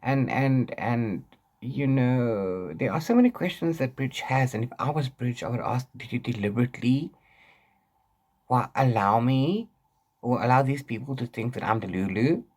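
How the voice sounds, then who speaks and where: A man with a mid-pitched voice that swings widely up and down. He chats casually like a book vlogger, wandering through his thoughts, repeating words and breaking off to start again. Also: expressive, normal speed, darkish tone. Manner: rambling and self-interrupting, repeats words. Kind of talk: casual book chat to camera.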